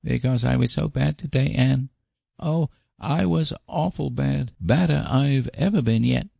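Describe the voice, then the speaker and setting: A man's voice: medium pitch, measured pace, creaky, dark tone, slightly rough, no echo, studio quality, voice pushed up, heavily acted. A man voicing a guilty, eager little boy in a storybook, childlike, earnest and confessional. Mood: miserable, pleading. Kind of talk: storybook character voice.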